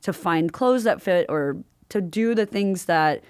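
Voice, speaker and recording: A woman's voice: lowish voice, lively intonation, unhurried, darkish tone, clean tone, no room sound, studio quality. A woman hosting a podcast in a casual, informal conversational style. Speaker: podcast host. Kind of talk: casual chat.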